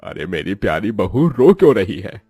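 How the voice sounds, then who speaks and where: A man voicing a kindly older father-in-law in a cartoon, husky, affectionate and encouraging. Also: fairly low pitch, sing-song, moderate pace, dark tone, no echo, studio quality, faint music behind. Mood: tender concern. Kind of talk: cartoon moral story voice acting.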